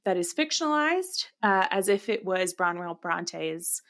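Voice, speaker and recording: A woman's voice: on the low side, sing-song, unhurried, a bit bright. A woman co-hosting a podcast, chatting casually about books in a conversational style. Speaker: podcast co-host. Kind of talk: casual book chat.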